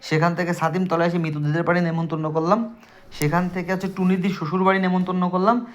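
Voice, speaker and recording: A man's voice: sits high, flat delivery, fast. A man with a southwestern Bangladeshi Bengali accent telling a personal story casually and emphatically, talking straight to his listeners. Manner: conversational, emphatic, addresses listeners directly. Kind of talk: casual spoken personal ghost story to friends. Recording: dull lo-fi recording.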